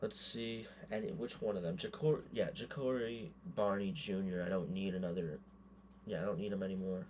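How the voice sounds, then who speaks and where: Hoarse low-voiced man talking gameplay. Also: steady tone, a little fast, a little mellow, slight background noise. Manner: thinks aloud, muttering verdicts on players. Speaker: gaming creator. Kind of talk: casual gameplay commentary.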